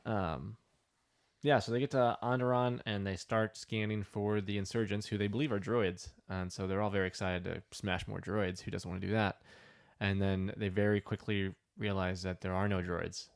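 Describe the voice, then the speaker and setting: Low-voiced man, easygoing podcast co-host. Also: steady tone, gravelly, smooth. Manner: easygoing, agreeable, quick to chime in. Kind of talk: casual fan recap chat.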